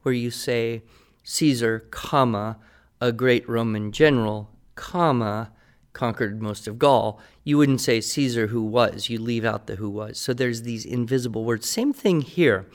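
A man's voice: medium pitch, unhurried. A man talking conversationally on a podcast as a teacher, playful and didactic, explaining things step by step. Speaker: writing teacher answering listener questions.

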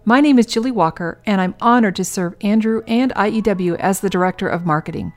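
A woman's voice: medium pitch, brisk, a little mellow. A woman co-host reading a polished, welcoming podcast introduction.

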